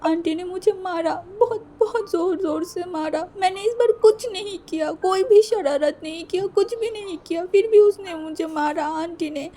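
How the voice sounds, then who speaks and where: A woman's voice: very high voice, normal speed, hardly any pauses, dark tone, a little hiss or hum, pitched above the performer's own voice. Woman doing a sobbing little boy. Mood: tearful pleading. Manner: sobbing, stammering, whiny. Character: crying hurt eleven-year-old boy, pleading.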